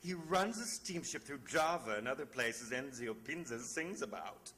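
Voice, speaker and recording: Genteel man, lilting and animated. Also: medium pitch, normal speed, slight background noise. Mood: coaxing. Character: genteel, coaxing male friend of the detective. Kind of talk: old-time radio drama acting.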